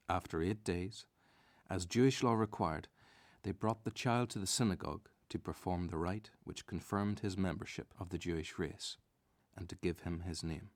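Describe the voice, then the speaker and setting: A man with a lowish voice narrates a scripted story for radio. The sound is dry and close, and he tells it as a storyteller would. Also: no room sound.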